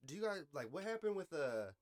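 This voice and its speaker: An American man chatting casually as a podcast host in street slang, with swearing and a loose, halting delivery. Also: fairly high pitch, big pitch swings.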